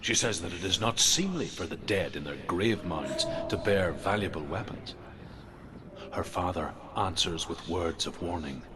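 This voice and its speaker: An actor playing a grave storyteller of Norse legends in a video game, solemn, measured and dramatic. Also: male voice, deep voice, animated, background noise. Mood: ominous narrating.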